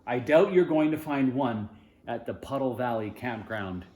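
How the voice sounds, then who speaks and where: A man's voice: medium pitch, animated, moderate pace, background noise. Man reading a storybook in an echoey room. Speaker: storybook reader. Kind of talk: children's storybook read-aloud with informal asides.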